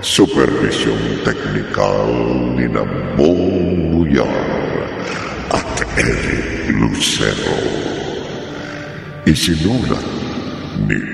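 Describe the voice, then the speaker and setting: A man performing as a sinister horror radio drama announcer, speaking in a drawn-out, ominous, theatrical way. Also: rumbling low, very animated, gravelly, heavily acted. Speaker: announcer and narrator. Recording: cavernous echo with long reverb. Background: dramatic music.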